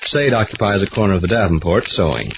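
A man's voice: low-pitched, big pitch swings, fast, gravelly, heavily acted. A man narrating as an announcer, setting the scene in scripted 1940s radio style. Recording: muffled, noisy old radio recording.

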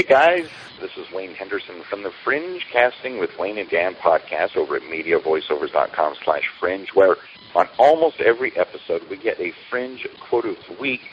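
A man's voice: low-pitched, lively intonation, normal speed. A man who podcasts, leaving an upbeat, polished congratulatory message on a muffled voicemail. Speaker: podcaster calling in. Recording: muffled, noisy voicemail recording.

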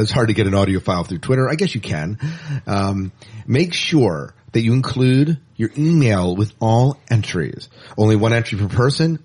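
A man's voice: lowish voice, a little mellow, husky. A man co-hosting a podcast in a casual, chatty style, with wry asides and words repeated for emphasis. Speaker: podcast co-host. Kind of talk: casual show rundown and banter.

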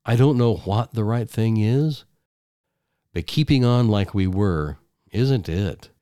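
A worn-out young husband, as the narrator voices him, flat, clipped and resigned. He talks slowly, low in the throat, in a dry, close sound. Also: clear, resonant tone, no echo. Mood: weary. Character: weary young husband, tired and fed up.

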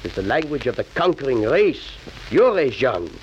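A man's voice: medium pitch, sing-song, normal speed, close to an everyday voice. Man acting a pompous Nazi official, hissy old recording. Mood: commanding, zealous. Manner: pompous, imperious. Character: arrogant Nazi official taking over a school. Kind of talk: 1940s radio drama acting. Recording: muffled, hissy 1940s radio recording.

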